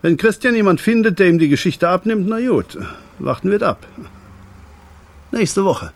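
A man playing a calm, paternal loan shark in a German radio drama, measured, condescending and politely threatening. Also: high voice. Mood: amused, dismissive.

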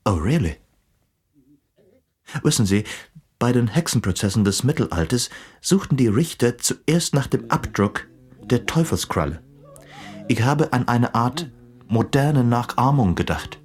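An actor playing a polite, wry English gentleman investigator in a radio drama, dropping in English interjections. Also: mid-range voice, very animated, unhurried, pauses often, slightly rough. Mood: wry, troubled.

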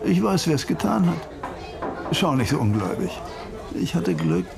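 An actor playing a cocky street informant with a gravelly voice, haggling in a radio crime drama. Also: male voice, fairly high pitch, very animated, normal speed, crowd noise.

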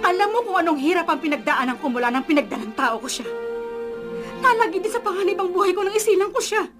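Casting: indulgent radio-drama mother, very high voice, lively swings, emotive and defensive. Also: fast talker, music in the background. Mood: hurt, defensive. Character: doting, indulgent, defensive mother of a grown son. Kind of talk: Filipino radio drama acting.

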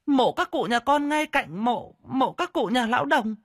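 A man's voice: very high-pitched, expressive, normal speed, fairly bright tone, husky, no room sound, clean studio sound, pitched above the performer's own voice, full character voice. A man voicing a flustered older village woman, stammering anxiously in a narrated story. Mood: panicked. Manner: stammering, agitated.

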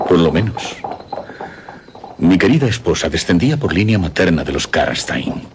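A very low-voiced gentleman talks over clear noise. He is a polite, sceptical father and old friend in a radio play, full of questions and animated inflection. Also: moderate pace. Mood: sympathetic. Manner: polite, formal, inquisitive. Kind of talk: radio drama acting.

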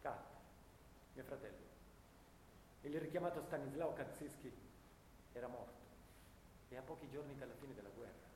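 An actor playing a grieving, war-weary young soldier in a solemn, measured theatrical monologue. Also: male voice, medium pitch, a little mellow, natural voice. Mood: grief-stricken. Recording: echoey stage hall, faint noise.

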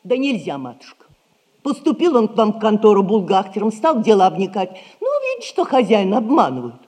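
A woman's voice: medium pitch, very animated, a little fast, a little mellow, slightly rough. Plaintive townswoman in echoing hall. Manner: folksy, lamenting storytelling. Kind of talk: theatrical 1960s audio play. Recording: reverberant hall recording.

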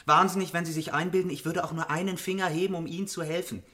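High-voiced, stern, indignant gentleman. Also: fairly even intonation, fast talker. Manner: clipped, emphatic, indignant. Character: stern, indignant gentleman scientist, resolutely refusing. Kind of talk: German radio drama acting.

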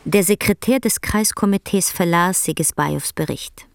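A woman's voice: fairly low pitch, normal speed, gravelly, no echo. A woman narrating a radio drama in a literary storytelling style. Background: prominent music.

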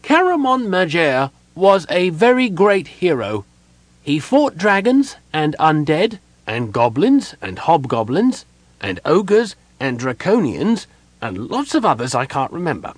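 An excitable little kender wanderer from a fantasy storybook, pitched way up high. The delivery is naive, cheerful and eager, bouncing all over in pitch. It chatters along in a scatterbrained, easily distracted way, close and dry. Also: male voice, measured pace, no room sound, full character voice. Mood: earnest, distracted. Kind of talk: audiobook character voice.

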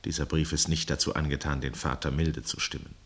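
Very deep-voiced male narrator. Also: flat delivery, a bit bright. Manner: measured, sober, literary. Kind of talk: scripted narration for radio drama.

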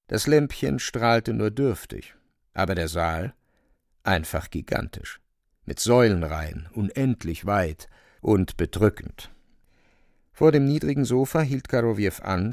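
A man narrating a literary radio drama in a creaky voice, deliberately, phrase by phrase. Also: on the low side, dry recording, studio quality. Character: measured literary narrator of a dark fantastic tale.

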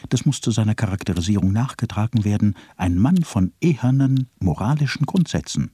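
A deep, ironic narrator. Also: male voice, lively intonation, fairly quick, warm tone, husky, no room sound. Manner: ornate, ironic listing delivery. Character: ironic, ornate male narrator. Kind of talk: scripted radio drama narration.

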